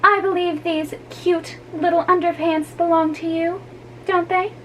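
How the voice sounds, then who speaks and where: A woman's voice: extremely high pitch, steady tone, measured pace, a bit bright, slight background noise, voice pushed up, low-quality recording. A woman voicing a sarcastic, teasing teenage girl in a storybook reading. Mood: mocking. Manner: mocking, sarcastic.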